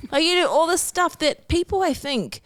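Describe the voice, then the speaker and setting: A woman's voice: very high voice, animated, normal speed, fairly bright tone, dry recording, clean studio sound. A woman from New Zealand co-hosting a podcast, speaking emphatically with pointed rhetorical questions. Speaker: podcast co-host. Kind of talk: casual chat.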